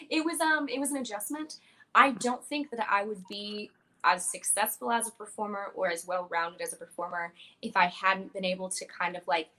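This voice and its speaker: A woman's voice: medium pitch, bright, forceful tone, poor audio. Confident chatty young woman. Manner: confident, chatty, frequent "like" fillers. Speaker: guest, wrestler and broadcaster. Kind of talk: casual interview answers.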